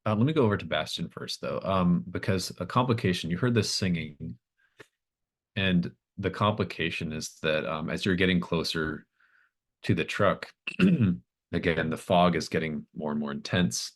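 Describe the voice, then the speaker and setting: A man running a tabletop horror game, improvising descriptive narration in a halting, thoughtful manner. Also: low voice. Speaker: game master.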